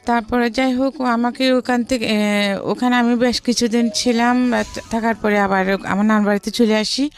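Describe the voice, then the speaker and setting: A woman's voice: on the high side, steady tone, normal speed, soft background music. Bangladeshi woman recounting her life story. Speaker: interview guest telling own life story. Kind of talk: personal storytelling in an interview.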